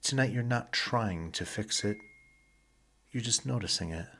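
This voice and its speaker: Low, slow, husky male hypnotist. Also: fairly bright tone. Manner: slow, deliberate, soothing, with short pauses between phrases. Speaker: hypnotist, guided session narrator. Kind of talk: sleep hypnosis, slow guided meditation narration.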